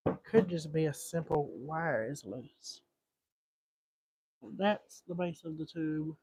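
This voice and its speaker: A man with a fairly high voice talks very slowly, as if thinking out loud. He speaks casually in short, muttered fragments, like a livestream host narrating a hands-on repair to himself. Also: husky, rough recording. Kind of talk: casual live repair commentary, thinking aloud.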